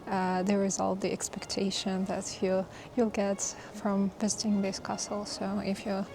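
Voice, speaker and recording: A woman's voice: medium pitch, completely flat delivery, moderate pace, noisy background. A woman vlogger giving travel narration and casual commentary on a sightseeing visit.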